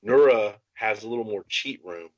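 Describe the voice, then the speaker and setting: A man's voice: on the low side, normal speed, dry recording, muffled recording. A man co-hosting a gaming podcast, talking strategy casually and emphatically, restating his points. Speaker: podcast co-host. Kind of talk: casual tabletop game strategy talk.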